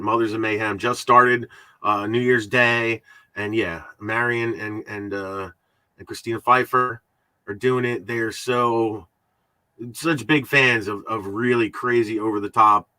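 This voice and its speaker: Bright-voiced man, casual podcast host. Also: fairly low pitch, fairly even intonation, no echo, poor audio. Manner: rambling, halting, repeats words. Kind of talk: casual conversational podcast talk.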